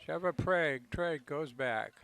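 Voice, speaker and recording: Fairly high-voiced man losing his voice. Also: animated, measured pace, creaky, a bit bright, smooth. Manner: low-key, conversational calls. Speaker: play-by-play commentator. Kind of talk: local baseball commentary.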